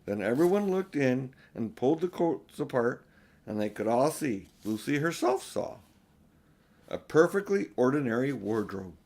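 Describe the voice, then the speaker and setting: A man's voice: mid-range voice, very animated, normal speed, a little mellow. An older man narrating a children's storybook expressively as an audiobook reader.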